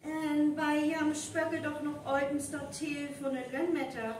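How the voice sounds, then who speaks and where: A woman with a North Frisian accent playing a deliberate, explanatory young folklore researcher in a live radio play. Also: high-pitched, little pitch movement. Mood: explaining. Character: young female university researcher of local folk legends. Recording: echoey live stage recording, distant, faint noise.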